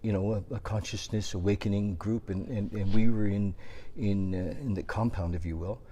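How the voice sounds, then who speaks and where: A male podcast host chatting with a guest, giving steady affirmations and rambling through restarts. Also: on the low side, normal speed, breathy edge. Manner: warm affirming backchannels, rambling with many restarts. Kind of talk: casual interview chat.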